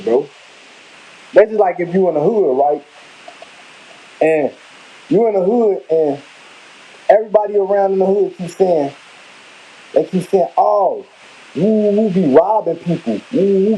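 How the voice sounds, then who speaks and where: A man's voice: high-pitched, warm tone, breathy edge, muffled recording. A man from Chicago with a Chicago accent, talking casually on a live vlog in a rambling, emphatic way. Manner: rambling, emphatic, slangy, repeats himself. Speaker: vlogger. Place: home kitchen.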